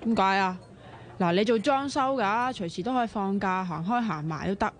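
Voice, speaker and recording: An actress playing a sharp-tongued, bossy, excitable young woman in a radio drama, chatty and expressive, with a Hong Kong Cantonese accent. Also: medium pitch, fairly quick, a little hiss or hum, natural voice. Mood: persuasive, cheeky.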